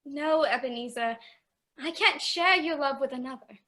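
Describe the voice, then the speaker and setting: A woman's voice: sits high, sharp tone. A woman in a radio play playing a poor young fiancée who speaks with sorrowful, earnest resolve. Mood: sorrowful, resolute. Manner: earnest, measured, plaintive.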